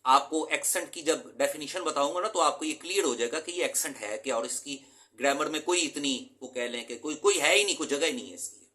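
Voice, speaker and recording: A man from Pakistan lectures his students as an English teacher, earnest and emphatic, often dropping in English terms. His voice sits a little high and sounds bright and forceful. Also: brisk.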